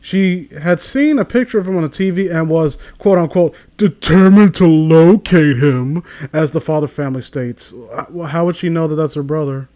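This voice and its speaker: A man's voice: a little high, moderate pace, few pauses, dark tone. A man hosting a comedy reading, commenting casually as he reads aloud, with a sarcastic, foul-mouthed manner. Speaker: host of a comedy reading channel.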